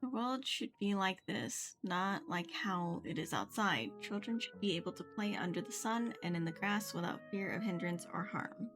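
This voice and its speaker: A woman is streaming a video game and reading its dialogue aloud. Her delivery is expressive yet casual, slipping into offhand remarks, and her voice sits at an average pitch. Game music plays clearly behind her. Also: normal speed, few pauses.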